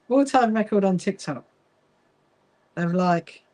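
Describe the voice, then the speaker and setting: A woman's voice: medium pitch, takes it slowly, warm tone. A woman from England's south coast with a mixed Kentish and Sussex accent, chatting casually with livestream viewers while she sews. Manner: chatty, greets viewers and answers their comments. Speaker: livestream host of a quilting association.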